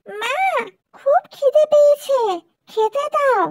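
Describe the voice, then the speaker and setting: Very high-pitched small village child. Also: female voice, slow pace, a little mellow, no room sound, studio quality. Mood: whiny, hungry. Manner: plaintive, childlike. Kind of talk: dramatized doll-story dialogue.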